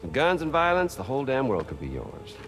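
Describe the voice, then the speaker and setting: An actor playing a taunting, theatrical arms-dealing villain with a gravelly, mocking drawl in a video game. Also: male voice, mid-range voice, wildly swooping pitch, brisk, soft background music. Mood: mocking, grandiose. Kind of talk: video game cutscene acting.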